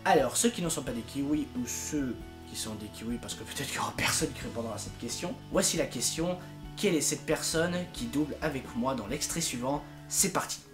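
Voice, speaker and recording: A man giving an informal, unscripted video update to his followers, rambling and halting as he goes. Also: medium pitch, music in the background. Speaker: amateur fandub project leader and YouTuber.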